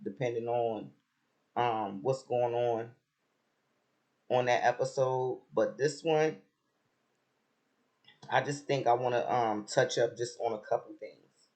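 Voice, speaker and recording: A man with a raspy voice who has just woken up, chatting casually about a TV episode with lots of fillers and restarts. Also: medium pitch, slow, pauses often. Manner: relaxed, rambling, many fillers and restarts. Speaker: reality TV commentator.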